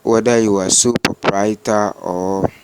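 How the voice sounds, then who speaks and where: Slow-spoken Ghanaian man giving a tutorial. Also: lowish voice, fairly even intonation, a little mellow, smooth. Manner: repetitive, halting instruction. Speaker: tutorial host. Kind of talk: step-by-step screen tutorial, unscripted.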